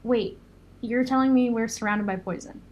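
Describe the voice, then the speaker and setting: A woman's voice: on the high side, normal speed, lightly acted. A woman playing a reasonable, plain-spoken young supervillain in an audio drama, conciliatory in manner. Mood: exasperated.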